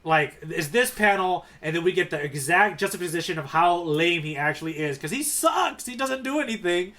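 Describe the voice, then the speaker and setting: A man with a somewhat high voice speaks as a podcast co-host. His delivery is casual and conversational, full of enthusiasm for the comic he is discussing. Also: lively intonation, moderate pace, pushed and bright. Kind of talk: casual manga discussion chat.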